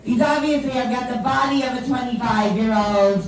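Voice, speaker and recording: A woman's voice: fairly high pitch, a little fast, distant mic. A female stand-up comedian from the tri-state area with a voice that sounds older than she is, teasing the crowd in a chatty, self-mocking way. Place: comedy club or venue. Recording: echoey club, mic from afar, audience noise. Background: audience laughing and chatting.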